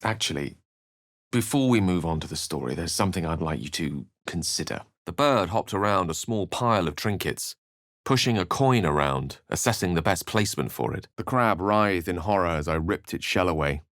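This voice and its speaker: A man's voice: low voice, lively intonation, moderate pace, a bit bright, no room sound, studio quality. A man acting an eloquent, cultured wizard in a video game, earnest and wry, with articulate, ornate phrasing.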